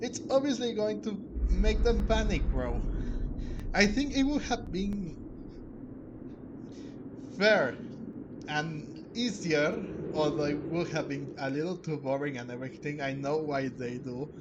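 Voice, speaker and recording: A man hosting a game playthrough, commenting in an informal, halting, reactive way. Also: a little high, muffled recording, background noise. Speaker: gaming video host. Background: loud game music.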